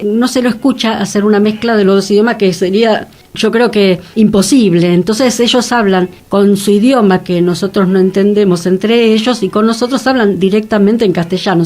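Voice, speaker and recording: Argentine woman chatting at medium pitch. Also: a little mellow. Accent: Rioplatense Argentine. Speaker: radio show co-host. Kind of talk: conversational radio discussion.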